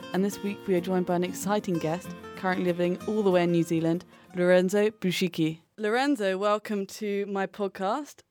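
A woman hosting a current affairs podcast, reading her intro and asking measured questions. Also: on the low side, darkish tone. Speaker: podcast host.

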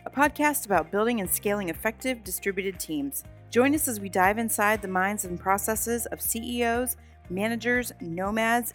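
A woman's voice: mid-range voice, a bit bright. A woman hosting a podcast, reading a scripted intro and then greeting her guest in an upbeat, enthusiastic way. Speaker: podcast host.

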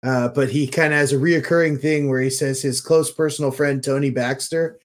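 Man, average pitch, podcast co-host, casual chat, informal, chatty, lightly joking. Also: fairly even intonation, brisk.

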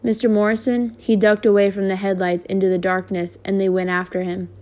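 A woman's voice: medium pitch, flat delivery, a little fast, a little mellow, natural voice. A woman voicing a shaken teenage boy who tells of an attack in rural Southern dialect speech.

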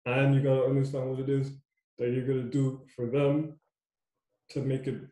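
A man's voice: mid-range voice, flat delivery, moderate pace, mellow, roomy sound, rough recording. A young man coaching youth basketball, talking casually in a loose, repetitive way. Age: young adult. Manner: loose, repetitive, says "you know what I mean" a lot. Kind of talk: casual podcast chat.

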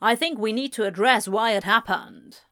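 A woman's voice: a little high, normal speed, fairly bright tone. A woman voicing a confident, insistent man in an audiobook story, blunt and certain, dismissive yet lovesick.

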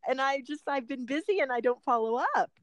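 A woman's voice: sits high, brisk, no echo. A middle-aged woman hosting a podcast, chatting casually with frequent laughs and rambling asides. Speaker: podcast host and personal trainer.

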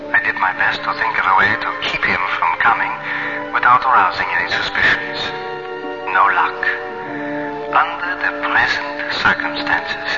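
An actor playing a grave doctor who reads out his letter in a measured, clinical way in a radio drama. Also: lowish voice, close to an everyday voice. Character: grave doctor, confiding a patient's diagnosis by letter. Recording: muffled 1970s radio broadcast.

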